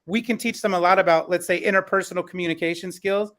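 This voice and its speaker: Male panel host, high-set voice, conversational, encouraging and effusive. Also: fairly even intonation, fast, a bit bright. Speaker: podcast panel host and educator.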